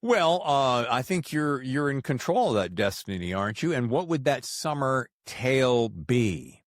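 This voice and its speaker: A man's voice: mid-range voice, sing-song, unhurried, no echo, clean studio sound. A man hosting a podcast interview in a casual, playful and informal way. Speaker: podcast host.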